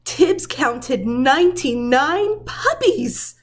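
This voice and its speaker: Slow, lively woman reading a storybook. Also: sits high. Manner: dramatic, deliberate storytelling for young children. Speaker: preschool teacher reading aloud. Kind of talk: expressive children's storybook read-aloud.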